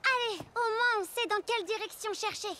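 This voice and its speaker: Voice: very high voice, moderate pace, heavy background noise. An actor playing a bold, exuberant young girl pirate captain in a French cartoon, exclaiming and commanding. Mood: reassuring. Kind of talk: French cartoon dub acting.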